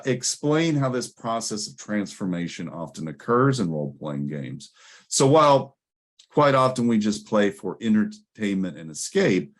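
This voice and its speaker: Low-voiced man giving an academic talk. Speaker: academic presenter. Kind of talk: conference presentation, explanatory lecture.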